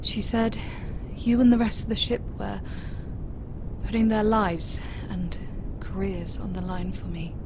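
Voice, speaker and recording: A woman's voice: mid-range voice, flat delivery, a little slow, noisy background, lightly acted. A woman playing a hurt, unsure young starship officer in an audio drama, hesitant and subdued. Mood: guarded, reluctant. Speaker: voice actor.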